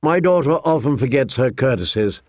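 A man plays a noble lord and father in an audiobook. He is formal and measured, stern yet fond, in a mid-pitched voice with a very dry, close sound. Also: animated, no echo, close to an everyday voice. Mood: courteous. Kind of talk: audiobook character dialogue.

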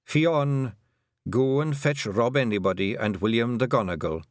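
A man's voice: mid-range voice, measured pace, dry recording, studio quality, pitched above the performer's own voice, lightly acted. A man voicing a tiny, dying old fairy clan mother, bossy and shrewd, in a lilting rural brogue. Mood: weary, wry. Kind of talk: audiobook character voice.

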